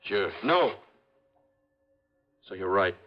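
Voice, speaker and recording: An actor playing a cornered, bitter, proud murderer in a 1950s radio crime drama. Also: male voice, sits low, sing-song, very slow, exaggerated voice. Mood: bitter, defiant. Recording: dull, band-limited vintage radio recording.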